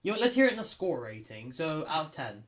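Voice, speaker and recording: A young man hosting a casual podcast chat, excitable and swearing, often stumbling over his words. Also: a little high, fast talker. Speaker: podcast host.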